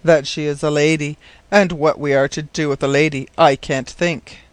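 A man voicing a kindly, absent-minded old professor in a storybook, speaking gently, thoughtfully and with some hesitation. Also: fairly high pitch, moderate pace, dry recording, lightly acted. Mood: thoughtful, doubtful.

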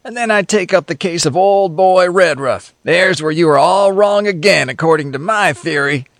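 A man voicing a cynical, folksy storyteller with a rasping chuckle, talking in old slang. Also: high voice, moderate pace, fairly bright tone, dry recording, clean studio sound, pitched above the performer's own voice. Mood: sardonic.

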